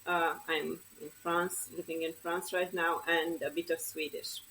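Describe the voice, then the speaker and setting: A young woman with a Hungarian mother tongue, talking in a fairly low voice. She chats modestly as a podcast guest and often pauses to find her words. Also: level tone, bright, forceful tone, rough recording. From Romania. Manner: modest, chatty, with frequent hesitations. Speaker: interview guest, surgeon. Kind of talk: casual conversational interview.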